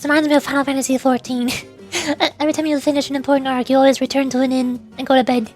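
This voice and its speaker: A woman's voice: high-pitched, flat delivery, quick pace, soft background music. A woman streaming a game, chatting casually in a playful, teasing manner. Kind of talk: casual game livestream chat.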